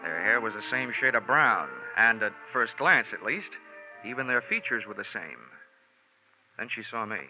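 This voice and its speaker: A man's voice: lowish voice, expressive, normal speed, lightly acted. An actor playing a wry, flippant male insurance investigator in 1940s radio detective style, speaking with a sardonic manner. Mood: wry narration. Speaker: lead actor and narrator. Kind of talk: 1940s radio detective acting, wry first-person narration. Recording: dull vintage 1940s radio recording, faint music.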